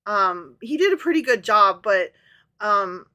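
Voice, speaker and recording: A woman co-hosting a podcast in relaxed conversation, often stopping to correct and restart herself. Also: medium pitch, measured pace. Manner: chatty, self-correcting with frequent restarts. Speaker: podcast co-host. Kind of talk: casual chat.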